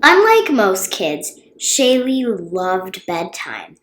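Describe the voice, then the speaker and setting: A woman reading a children's storybook aloud expressively, with dramatic, drawn-out emphasis on key words. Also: mid-range voice, huge pitch swings, a bit bright, a little hiss or hum. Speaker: children's book reader and channel host. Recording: roomy, echoey sound.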